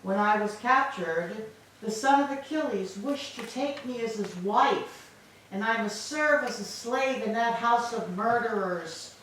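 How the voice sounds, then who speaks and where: A woman playing a proud, despairing young widow held captive, speaking in formal, measured declamation in a staged reading of Greek tragedy. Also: a little high, a bit bright. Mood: bitter sorrow. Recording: echoey hall, distant mic, faint noise.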